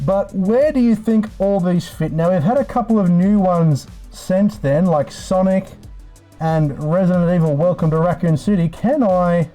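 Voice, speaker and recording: Guy streamer w soft mellow voice, chummy laid back chat, bit of music in the bg. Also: high voice, moderate pace, low-quality recording. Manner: laid-back, sweary, chummy address to viewers. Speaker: live streamer host. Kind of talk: casual livestream chat. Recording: music playing in the background. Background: background music.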